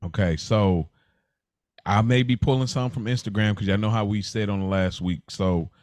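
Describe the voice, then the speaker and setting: A man with a somewhat low voice chats as a podcast co-host. His talk is informal and slangy, working out his thoughts as he speaks. It is recorded dry and close. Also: normal speed, a little mellow, no room sound. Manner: informal, slangy, thinking aloud. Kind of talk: casual conversational podcast talk.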